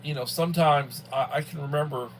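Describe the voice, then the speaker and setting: A man in his early sixties chatting casually on a livestream, with a halting, folksy manner and a habit of repeating himself. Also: on the high side, steady tone, slight background noise, poor audio. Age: early 60s. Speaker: livestream host, school worker. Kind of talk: casual rambling livestream chat.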